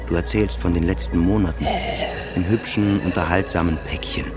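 A man with a very deep voice narrates a literary first-person radio play. His delivery is introspective and falls into short, clipped phrases, with music playing clearly behind him. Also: fairly even intonation, moderate pace.

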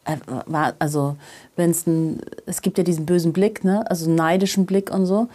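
A middle-aged woman being interviewed, telling personal anecdotes in a casual, animated and emphatic way. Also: on the low side, normal speed. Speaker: interview guest, journalist.

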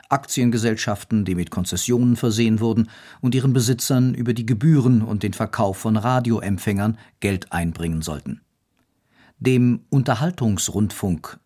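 A man's voice: lowish voice, darkish tone, breathy edge. A man reading scripted narration for a radio documentary as its narrator.

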